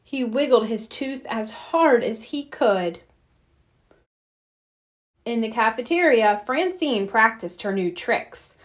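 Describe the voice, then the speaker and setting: A woman reading a children's storybook aloud with expressive, deliberate narration. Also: fairly high pitch. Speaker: storybook reader.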